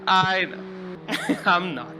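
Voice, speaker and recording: A man's voice: high-pitched, fairly even intonation, drawn-out words, a crowd in the background. A man co-commentating a sim race on a live stream, playful and often laughing.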